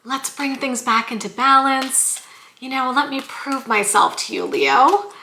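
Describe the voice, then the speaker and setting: Brief: sassy, chatty woman tarot reader with a bright voice and slight hoarseness, recorded in an echoey room. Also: sits high, unhurried. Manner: chatty and sassy, addresses viewers as "you guys". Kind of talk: casual tarot reading vlog.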